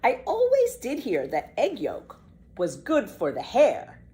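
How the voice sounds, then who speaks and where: An exuberant little girl from a children's storybook, played by a woman reader. She boasts with carefree, theatrical emphasis. Her pitch sits high and swoops freely. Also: huge pitch swings, slow pace, warm tone, heavily acted. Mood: excited. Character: bold, boastful, exuberant little girl telling tall tales. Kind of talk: children's storybook read-aloud.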